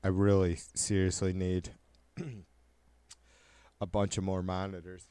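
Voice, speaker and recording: A man with a raspy, nasal voice, rambling profanely and jokingly on his livestream. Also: sits low, level tone, slow, mellow, dry recording. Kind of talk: casual rambling livestream chat.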